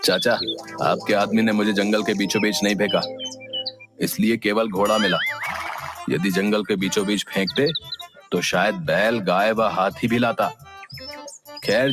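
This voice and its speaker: A man's voice: on the low side, fairly even intonation, unhurried, pauses often, close to an everyday voice. Man playing a clever cheeky young villager. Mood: sly. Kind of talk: cartoon voice acting. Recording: music playing behind.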